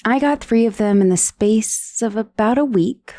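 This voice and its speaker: A woman's voice: on the high side, normal speed, dark tone, no echo, natural voice. Woman voicing a wry undead severed head, dry close recording. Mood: breezy, defensive. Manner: conversational, dryly sardonic, with sighs and hesitations. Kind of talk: ASMR roleplay monologue.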